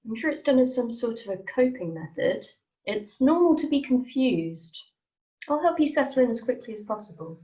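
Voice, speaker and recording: A woman reading the part of a calm, matter-of-fact guide to the afterlife in her late thirties, at a script table read. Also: fairly high pitch, moderate pace, poor audio. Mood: reassuring.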